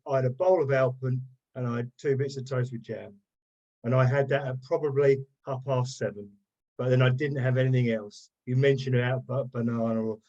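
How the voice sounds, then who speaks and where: A man's voice: mid-range voice, a little mellow. Regular guy on a video call, muffled dull audio, plain and matter-of-fact. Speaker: webinar participant.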